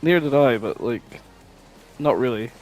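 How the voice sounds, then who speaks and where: A man co-hosts a podcast in loose, informal conversation, swearing freely as he goes. His voice sits at a middle pitch, and music plays behind him. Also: moderate pace, a little mellow, poor audio. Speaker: podcast co-host. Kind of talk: casual chat, reminiscing.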